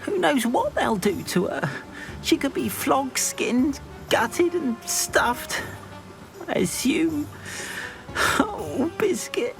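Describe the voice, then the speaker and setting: An actor playing a timid, sentimental goblin in a video game, with a plaintive, fretful way of talking. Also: male voice, extremely high pitch, very animated, takes it slowly, gravelly, over music, full character voice, low-quality recording. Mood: distressed, fretful. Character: timid, anxious, sentimental goblin doting on his pet. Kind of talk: video game character acting.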